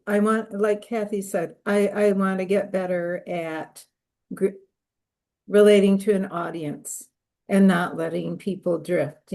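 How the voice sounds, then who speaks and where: A poet, a woman who is hoarse from a cold, speaking hesitantly on a video call and clearing her throat often. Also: lowish voice, darkish tone. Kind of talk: casual discussion. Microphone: computer mic on a video call.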